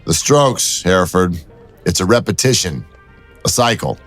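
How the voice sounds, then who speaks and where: An actor playing a wry, persistent city detective who talks in dry, teasing banter. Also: male voice, low-pitched, animated, a little slow, a bit bright, soft background music, full character voice.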